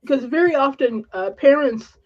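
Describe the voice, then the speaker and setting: A woman with an upper-class Canadian accent, talking earnestly in quick fragments as a panelist on a live-streamed chat. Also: high voice, lively intonation, a little mellow, muffled recording.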